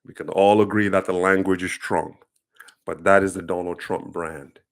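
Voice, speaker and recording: A man's voice: sits low, steady tone, moderate pace. A Nigerian man talking casually to camera, explaining things earnestly, with plenty of ums and restarts. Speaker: commentator.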